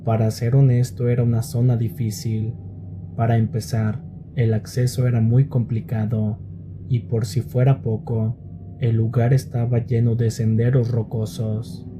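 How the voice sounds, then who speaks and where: A man reading scripted scary stories as a narrator, in a measured and deliberate storytelling manner. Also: mid-range voice, level tone, music in the background.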